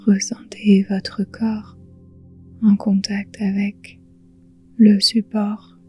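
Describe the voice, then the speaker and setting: Very slow, hushed woman guiding meditation. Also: mid-range voice, flat delivery, soft background music. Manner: soothing, hushed, breathy delivery with long pauses. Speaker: yoga nidra guide. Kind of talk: guided relaxation meditation.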